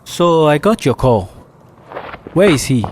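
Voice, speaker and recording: Actor as serious male leader over noisy rumble. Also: animated. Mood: businesslike, serious. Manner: brisk, direct, serious. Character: businesslike male leader, calm and authoritative. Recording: clear background rumble and noise.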